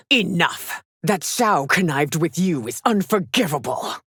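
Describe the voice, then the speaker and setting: An actress playing a haughty, regal, middle-aged warrior empress in a video game, speaking formally and imperiously with theatrical diction. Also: female voice, low-pitched, no echo, clean studio sound, full character voice. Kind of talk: video game character voice lines.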